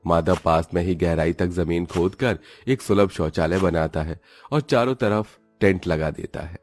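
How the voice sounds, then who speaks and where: A man's voice: sits low, normal speed, darkish tone. A man narrating a dramatic scripted story. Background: faint music.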